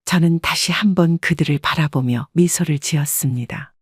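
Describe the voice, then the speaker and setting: Calm, breathy woman narrating a story. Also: low-pitched, moderate pace, no echo, clean studio sound. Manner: calm, even, reflective. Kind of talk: scripted first-person story narration.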